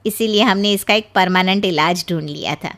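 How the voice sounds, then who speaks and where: Woman acting a bright, motherly mother-in-law. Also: fairly low pitch, a little fast, pitched below the performer's own voice. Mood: tender. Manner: motherly, coaxing. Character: affectionate middle-aged Indian mother-in-law, playful and caring. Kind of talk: animated Hindi moral story voice acting.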